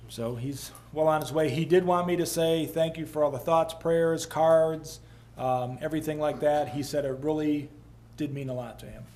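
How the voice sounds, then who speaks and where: A police chief, a man, giving a calm, matter-of-fact update at a council meeting. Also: a little high, moderate pace.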